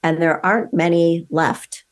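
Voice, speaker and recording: Low-voiced woman hosting an interview. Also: steady tone, a little slow. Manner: earnest, clarifying questions. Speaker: podcast host. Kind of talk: interview host.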